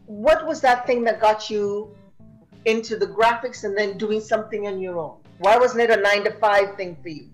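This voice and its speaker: An effusive woman hosts a podcast interview, heaping praise on her guest. Her voice sits at an ordinary middle pitch. Soft music plays faintly underneath. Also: normal speed, rough recording. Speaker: podcast host. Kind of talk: interview conversation.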